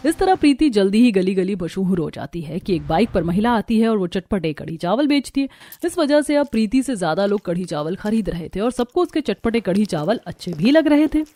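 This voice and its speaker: A woman tells a scripted story as narrator. Her voice sits at a medium pitch for a female reader. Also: big pitch swings, a little fast, dark tone.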